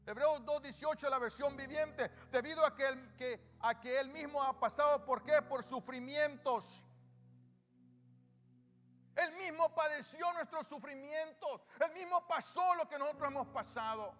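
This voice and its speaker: A man's voice: very high-pitched, measured pace, fairly bright tone. A male pastor with a Salvadoran Spanish accent, preaching a sermon and often asking his congregation questions that he answers himself. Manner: call-and-response questions to the congregation. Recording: reverberant church hall with music.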